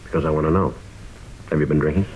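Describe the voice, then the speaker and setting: A man's voice: extremely low pitch, fairly even intonation, fast, a little mellow, a little hiss or hum. A man playing a terse, businesslike American police detective in a mid-century radio drama, clipped and matter-of-fact. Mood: firm, pressing. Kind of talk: 1950s American radio drama acting and narration. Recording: dull old radio recording.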